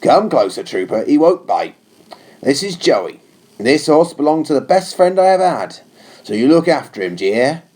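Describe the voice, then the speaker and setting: A man's voice: fairly high pitch, sing-song, normal speed, a little mellow. A man voicing a firm, unsympathetic male cavalry captain in a storybook reading, with a commanding manner. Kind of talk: audiobook character voice.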